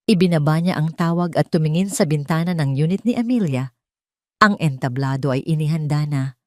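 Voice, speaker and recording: Female narrator, low pitch, scripted dramatic storytelling, very dry studio-like sound. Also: no echo.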